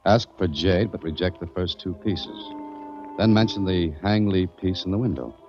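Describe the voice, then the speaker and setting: An actor playing a calm, self-assured private detective in a 1940s radio drama, with a dry manner. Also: male voice, low voice, normal speed, over music, close to an everyday voice. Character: cool private detective Dan Holliday. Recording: dull lo-fi 1940s radio recording.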